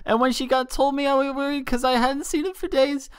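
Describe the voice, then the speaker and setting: A man's voice: extremely high pitch, fairly even intonation, a little fast, no room sound, clean studio sound, voice pushed up. A man voicing a frightened little boy pony in a storybook, stammering, breathless and pleading. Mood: panicked, tearful.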